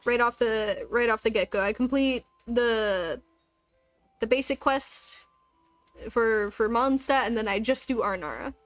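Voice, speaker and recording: A woman's voice: fairly high pitch, a little slow, no echo, soft background music. A woman chatting casually on a gaming livestream, rambling along with the odd swear word.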